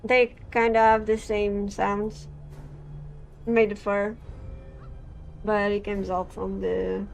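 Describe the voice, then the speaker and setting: A woman from Portugal, a gaming creator reading game text aloud and chatting in a halting way, full of fillers and restarts. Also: medium pitch, level tone, gravelly, soft background music.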